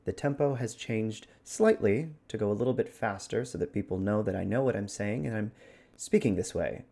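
A man playing a calm, methodical, self-assured speaker with measured, precise delivery. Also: fairly low pitch, creaky. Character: calm, methodical speaker who is sure of himself.

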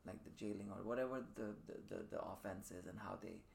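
A man in his thirties from Dubai, a podcast guest telling his personal story reflectively in thoughtful, measured phrases. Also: on the low side, gravelly. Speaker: podcast guest, performing artist. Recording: faint room noise behind the voice.

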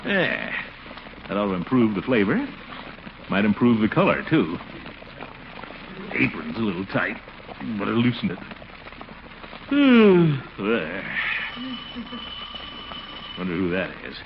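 A man's voice: lowish voice, huge pitch swings, slow pace, long pauses, full character voice. An actor playing a pompous, easily flustered middle-aged family man in a 1940s radio comedy, blustering and muttering to himself. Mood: musing. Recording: muffled, noisy 1940s radio recording.

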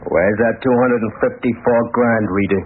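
An actor playing a terse, menacing gangster in an old-time radio crime melodrama. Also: male voice, lowish voice, steady tone, normal speed, natural voice. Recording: scratchy narrow-band old radio recording.

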